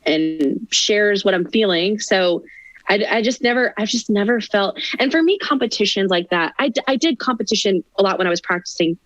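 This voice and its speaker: Animated woman guest, dry lo-fi sound. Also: mid-range voice, moderate pace, no room sound. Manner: animated, laughing, many restarts. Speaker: podcast guest, singer and actress. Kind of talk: casual interview chat.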